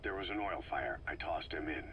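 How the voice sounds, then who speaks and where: An actor playing a terse, stoic soldier in a video game, with a gruff, gravelly voice and deadpan delivery. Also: male voice, rumbling low, fairly quick, lo-fi sound, slight background noise. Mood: terse, flat. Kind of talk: scripted video game dialogue.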